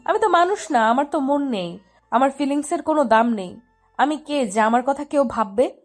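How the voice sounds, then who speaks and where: A woman's voice: high voice, expressive, moderate pace, soft background music. A woman playing a lovestruck, stubborn younger sister in a romantic audio drama, with a pouting, defiant manner. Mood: hurt, sulking.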